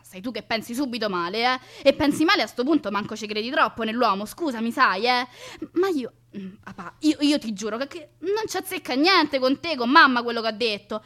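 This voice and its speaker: Actress doing anxious young roman woman on the phone, highish voice, rambly and emphatic, radio monologue. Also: expressive, brisk, few pauses, bright, forceful tone. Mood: insistent, pleading. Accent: Roman Italian. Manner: colloquial, rambling, exclamatory, emphatic. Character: chatty anxious young woman phoning parents and friends. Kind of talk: dramatic monologue reading as one side of phone calls.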